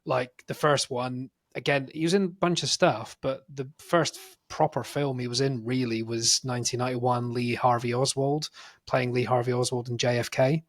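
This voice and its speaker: A man's voice: medium pitch, fairly even intonation, moderate pace. Man co-hosting a film podcast. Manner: teasing, leads the rundown of films. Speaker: podcast co-host. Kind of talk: casual chat.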